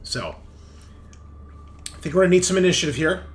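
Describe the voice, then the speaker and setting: A man's voice: a little high, sing-song, a little fast. A man running a tabletop game as game master, casually narrating and thinking aloud with informal, sweary phrasing. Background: game background music.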